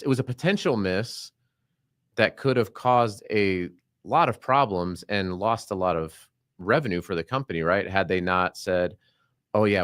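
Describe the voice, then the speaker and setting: A man's voice: fairly low pitch, fairly bright tone, dry recording, clean studio sound. A man co-hosting a podcast, talking in a loose, rambling way with frequent restarts. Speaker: podcast co-host. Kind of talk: casual podcast chat.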